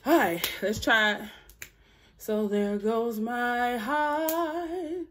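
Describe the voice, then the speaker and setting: A young woman with a deep alto voice practicing a song in her bedroom, singing along to a track and chatting to her viewers between lines. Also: very slow, a bit bright, slight background noise. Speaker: vlogger learning a song. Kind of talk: casual singing practice vlog. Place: small bedroom indoors. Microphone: phone camera held close.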